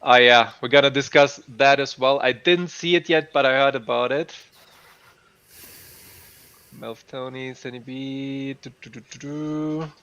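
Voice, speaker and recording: A man's voice: mid-range voice, fairly even intonation, a little slow, fairly bright tone, smooth, lo-fi sound. A man hosting a casual online morning show, cheerfully greeting viewers by name. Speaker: host.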